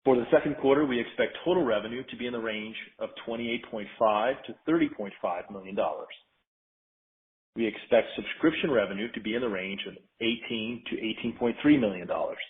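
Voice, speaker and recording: A man in a company finance role reads a scripted earnings presentation in a formal, measured, businesslike way. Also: medium pitch. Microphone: conference call line. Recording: narrow tinny phone-line sound.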